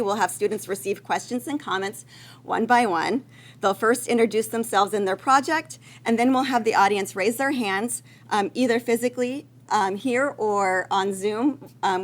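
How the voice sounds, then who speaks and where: A woman speaking as the host of a student research conference, giving semi-formal remarks to the room in an encouraging manner. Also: mid-range voice. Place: live conference room with audience. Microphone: event microphone.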